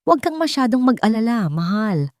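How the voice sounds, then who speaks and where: Casting: calculating young-wife villain, read by a woman narrator, soothing yet firm, dark warm tone. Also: fairly high pitch, very animated, a little fast, no echo, voice pushed up. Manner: hushed, emphatic. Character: scheming, smooth-talking daughter-in-law, firm and soothing villainess.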